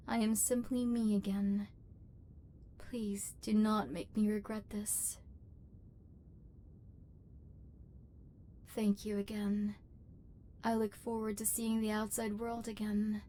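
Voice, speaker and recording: A woman voicing a lonely, wounded female robot overlord in an ASMR roleplay, speaking in formal, measured, machine-like phrases, guarded but yearning. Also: mid-range voice, dark tone, slight background noise, natural voice. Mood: vulnerable, trusting.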